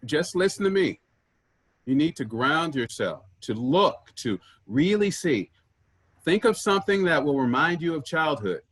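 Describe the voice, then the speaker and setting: Actor playing wistful earnest man, highish husky voice, stage play reading, searching for lost sibling. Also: very animated, unhurried, a bit bright, exaggerated voice. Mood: urgent, searching.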